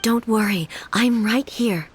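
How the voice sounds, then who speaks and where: An actress playing a calm, solemn female ruler in a video game, speaking in a measured, formal, reassuring manner. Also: on the high side, slight background noise. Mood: solemn reassurance. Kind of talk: dramatic video game voice acting.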